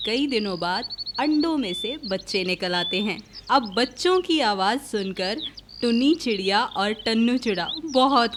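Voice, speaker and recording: Woman storyteller, scripted kids tale, medium pitch, birds chirping and noise behind. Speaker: storyteller, narrator. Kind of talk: scripted children's story narration.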